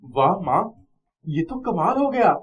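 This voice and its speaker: Man playing high-pitched, lively young boy. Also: takes it slowly, highs cut off, exaggerated voice. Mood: delighted. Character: sickly, affectionate young son, childlike. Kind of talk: Hindi moral story cartoon dialogue.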